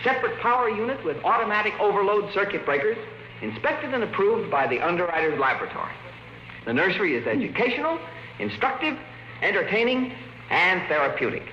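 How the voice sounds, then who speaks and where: A man's voice: high-pitched, sing-song, fairly quick, a little hiss or hum. A man playing a glib, pushy salesman in a 1950s radio drama, rattling off sales patter. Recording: roomy, dull 1950s radio recording.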